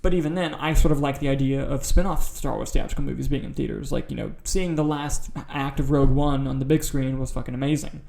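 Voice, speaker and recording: A man's voice: mid-range voice, steady tone, fast talker, gravelly, few pauses, a little mellow. A man hosting a podcast in a casual film discussion style. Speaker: podcast host.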